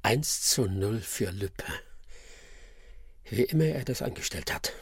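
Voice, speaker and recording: An actor playing a brilliant, proud and impatient detective in a radio crime comedy, sarcastic and condescending. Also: male voice, fairly low pitch, animated, unhurried, husky, full character voice. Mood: composed, resolute.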